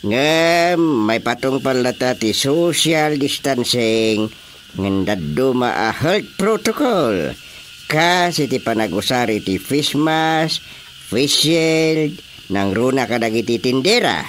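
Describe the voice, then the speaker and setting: A male actor plays a strict village chairman in an Ilocano-accented radio drama. His delivery is slow and authoritative, with wide swings of pitch as he instructs. Faint noise sits behind the voice. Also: big pitch swings, a bit bright. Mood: instructing.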